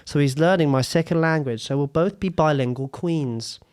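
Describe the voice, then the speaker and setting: A young British man hosting a casual podcast, cheeky and sweary, his voice going hoarse. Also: fairly high pitch, moderate pace, a little mellow. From Britain. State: losing his voice. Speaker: podcast host.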